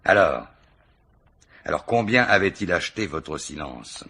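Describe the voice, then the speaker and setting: Radio crime play: insistent commissioner, very deep husky voice, sly and mock-courteous, repeats himself to press his suspect. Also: big pitch swings, measured pace, pushed and bright, full character voice. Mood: pressing, blunt. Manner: repeats words, probing, mock-polite. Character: wily, insistent male police commissioner, falsely courteous. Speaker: actor in a radio mystery play. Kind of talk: French radio crime drama acting.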